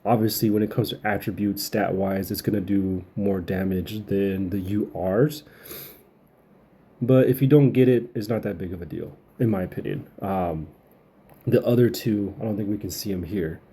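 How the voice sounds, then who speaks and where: A man's voice: fairly low pitch, normal speed, dark tone, slight background noise. A man getting over a cold, giving casual, rambling video game update commentary as a channel host.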